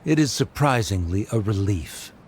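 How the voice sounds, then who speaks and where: A man's voice: fairly low pitch, lively intonation, normal speed, darkish tone, a little hiss or hum, close to an everyday voice. Man playing a haughty, cold scholar. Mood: disdainful. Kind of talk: video game voice acting.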